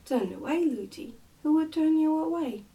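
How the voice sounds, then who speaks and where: A woman's voice: sits high, animated, normal speed, mellow, far from the mic, voice pushed up. A woman voicing a trusting, outspoken little princess in a storybook, with an earnest, insistent childlike manner. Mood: curious, insistent.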